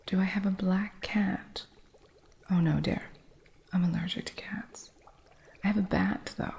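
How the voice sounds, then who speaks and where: A woman voicing an eccentric witch who runs a curio shop, talking in a soft, fond, matter-of-fact ASMR whisper. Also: on the low side, gravelly. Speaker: ASMR roleplay performer. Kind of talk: ASMR roleplay.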